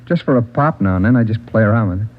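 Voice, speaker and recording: A man's voice: fairly low pitch, expressive, fast, natural voice. An actor playing a nervous, evasive drug-addicted laborer in his thirties, stammering and repeating questions defensively in a vintage radio crime drama. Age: 30s. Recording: muffled vintage radio recording.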